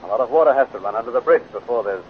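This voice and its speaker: Man acting a lively, good-natured space hero. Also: mid-range voice, rapid-fire, lightly acted. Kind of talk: 1950s children's radio serial acting. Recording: dull, noisy old radio recording.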